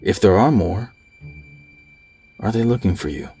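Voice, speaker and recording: A man's voice: on the low side, measured pace, husky, soft background music. A man acting an obsessed researcher held captive, agitated and musing aloud to himself in an audio drama monologue. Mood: anxious wondering. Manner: agitated, musing aloud, swings from murmurs to shouts.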